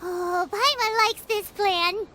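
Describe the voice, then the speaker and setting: A woman voicing a tiny, excitable fairy companion in a video game, with a squeaky childlike voice. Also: slow, slight background noise, heavily acted. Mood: excited. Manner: refers to self in third person, exclamatory. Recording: loud background music.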